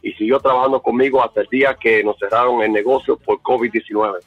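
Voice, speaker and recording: A man's voice: mid-range voice, fairly even intonation, quick pace, phone-quality sound, faint music behind. A man from the Dominican Republic, raised in Puerto Rico, speaking earnestly as an interviewee and often repeating words while he searches for them. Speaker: interviewee, bar owner. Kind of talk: remote interview.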